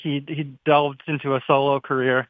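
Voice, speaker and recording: A man's voice: mid-range voice, level tone, moderate pace, no room sound. A man in his thirties from Minneapolis calling a radio show, friendly and jokey with many fillers. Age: 30s. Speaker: caller. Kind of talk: phone call to a radio show. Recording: tinny phone line.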